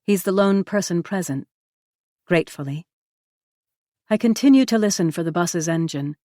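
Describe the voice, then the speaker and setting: A woman with a low voice reads a novel aloud in the first person, her delivery measured and even. The sound is close and dry, with no room around it. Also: dry recording. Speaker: audiobook narrator.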